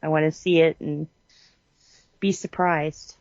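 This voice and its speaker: A woman's voice: deep voice, steady tone, a little slow, a little mellow, dry recording, lo-fi sound. A woman chatting casually as a podcast co-host. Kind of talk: casual chat.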